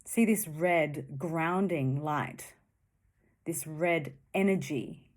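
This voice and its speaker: A woman guides a meditation in a fairly low voice. Her delivery is very slow and gentle, with short phrases set apart by long, soothing pauses.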